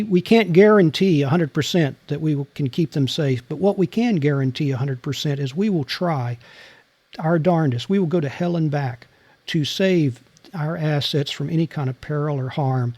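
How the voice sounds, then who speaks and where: Interview guest: man, slightly high voice, calm and deliberate delivery, dry close sound. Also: no echo. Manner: measured, deliberate, soothing. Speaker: guest, former CIA officer and novelist. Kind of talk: interview answers.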